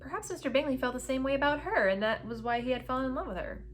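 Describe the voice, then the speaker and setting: A woman's voice: sits high, lively intonation, fast talker, slight background noise. Woman reading a romance novel aloud, expressively. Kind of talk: expressive storybook read-aloud.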